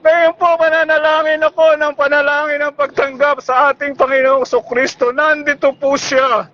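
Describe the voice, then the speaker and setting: High-pitched Filipino man preaching, pleading. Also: level tone, moderate pace, highs cut off. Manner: pleading, shouting exhortation. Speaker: street preacher. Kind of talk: evangelistic public sermon. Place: busy public bus terminal.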